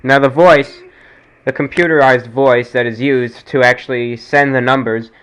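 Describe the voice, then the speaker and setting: A man's voice: medium pitch, steady tone, normal speed, a bit bright, smooth, poor audio. A man hosting an informal radio-style show, speaking like an announcer with emphatic delivery. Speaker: show host.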